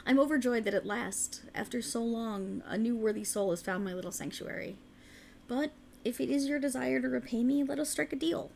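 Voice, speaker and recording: Woman performing an ancient faerie enchantress for ASMR roleplay: creaky voice, courtly old-world phrasing, soothing, possessive, ambient noise underneath. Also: fairly high pitch, fairly quick, darkish tone, voice pushed up. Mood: courtly, enticing. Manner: soothing, formal archaic phrasing, coaxing. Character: ancient alluring female faerie, tender yet sinisterly possessive.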